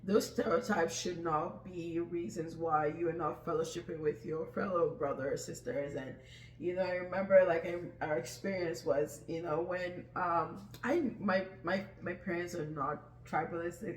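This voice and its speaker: A man's voice: fairly high pitch, fairly even intonation, moderate pace. A man co-hosting a casual podcast conversation, reflective and agreeable, with many fillers. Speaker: podcast co-host. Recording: echoey room, faint background noise.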